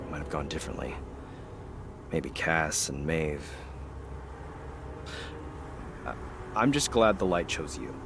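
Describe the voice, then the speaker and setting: Low-voiced weary soldier mentor. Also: male voice. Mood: regretful, grateful. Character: weary, loyal male soldier and mentor, guilt-ridden but warm. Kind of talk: video game dramatic dialogue. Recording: game ambience and engine noise behind.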